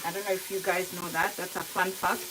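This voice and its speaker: A woman with a Kenyan accent giving a casual cooking demonstration to viewers, explaining step by step in a halting, unhurried way. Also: on the low side, level tone. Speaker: home cook hosting a cooking tutorial. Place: kitchen, indoors. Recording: noisy kitchen, sizzling frying sounds. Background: frying sizzle, stirring, clicks.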